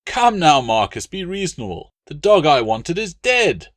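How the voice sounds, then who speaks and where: A man's voice: a little high, big pitch swings, normal speed, fairly bright tone, dry recording, voice pushed up. A man voicing a haughty, sneering Roman legate with a disdainful, cutting delivery in an audiobook. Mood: indignant. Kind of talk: audiobook character dialogue.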